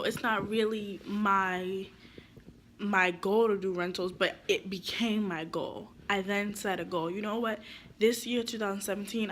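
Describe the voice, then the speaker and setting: A woman's voice: mid-range voice, a bit bright, slight background noise. A young woman giving casual real estate advice to camera, her voice stuffy from being sick, chatty and rambling with lots of fillers.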